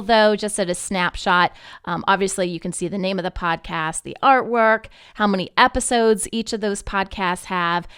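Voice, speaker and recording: A woman giving a conversational, unscripted software walkthrough, explaining things directly to the listener and checking in as she goes. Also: medium pitch, clean tone, no echo, studio quality. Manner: explanatory, addresses listeners directly with check-in tags like "okay" and "right". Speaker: podcast network manager presenting a tutorial.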